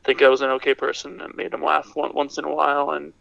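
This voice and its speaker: A man with a raspy voice from being sick, chatting casually and hesitantly as a podcast guest, with dry, self-deprecating humor. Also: on the high side, level tone, fast talker, fairly bright tone, no echo, highs cut off. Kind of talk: casual chat, reflective.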